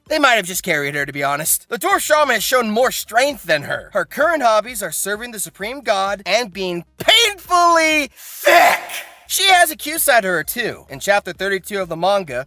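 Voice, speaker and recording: Animated, bright-voiced woman doing comic commentary. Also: fairly high pitch, no echo. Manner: sarcastic, slangy, exaggerated for comic effect. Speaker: anime video host.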